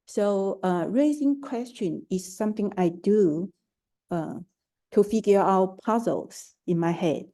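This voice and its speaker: A middle-aged woman from Taiwan, speaking with a Taiwanese accent, gives a careful, halting talk as an artist and curator at an online forum, pausing and restarting often. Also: medium pitch, mellow. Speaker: artist, curator and art educator. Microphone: video call.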